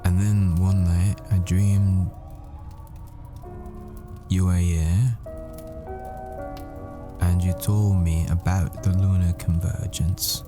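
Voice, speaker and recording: A man as a deep-voiced, yearning boyfriend. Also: flat delivery, over music. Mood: intimate, confessional. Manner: tender, halting, confessional. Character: devoted, yearning boyfriend confessing intimately to his lover. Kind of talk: intimate boyfriend audio roleplay.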